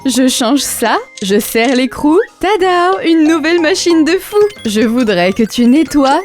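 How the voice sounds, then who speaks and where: A woman playing a lively, determined cartoon character who speaks in expressive sing-song rhymes for children. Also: high-pitched, a little slow. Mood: busy, determined. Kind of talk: rhyming children's cartoon performance. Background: light music.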